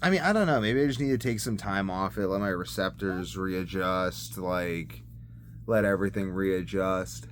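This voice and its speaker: A man's voice: low-pitched, normal speed. A man rambling casually through a personal video diary, hesitant and thinking aloud as he goes. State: sleepy. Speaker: vlogger. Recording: clear background noise.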